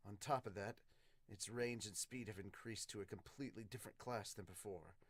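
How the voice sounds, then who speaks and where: A man's voice: lowish voice, brisk, no room sound, close to an everyday voice. A man voicing a weary, blunt, sardonic male knight captain in a manga dub. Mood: tense.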